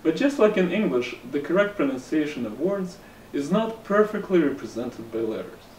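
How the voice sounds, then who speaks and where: A man's voice: fairly high pitch, sing-song, normal speed, darkish tone, slight background noise. Russian-accented man teaching in an echoey room. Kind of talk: scripted lesson narration. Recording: roomy room echo, somewhat distant mic, faint ambience.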